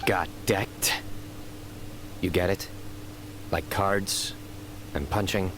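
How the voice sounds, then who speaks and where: Deep, solemn lawman. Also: background noise. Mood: deadpan. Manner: measured, deadpan, formal. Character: stern, solemn male law enforcer with deadpan humor. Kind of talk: video game voice acting.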